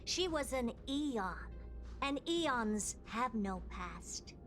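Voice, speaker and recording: A woman's voice: a little high, lively intonation, extremely slow, a bit bright, soft background music, heavily acted. A woman playing a composed, cryptic seer who speaks formally, as in a video game. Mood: solemn. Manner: formal, declarative.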